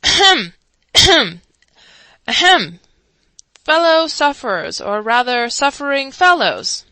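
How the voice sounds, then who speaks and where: A woman doing a squeaky pompous chancellor. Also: expressive, moderate pace, bright, forceful tone, no room sound. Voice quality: thin, squeaky. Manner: pompous, flustered speechmaker. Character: fussy squeaky-voiced male court chancellor.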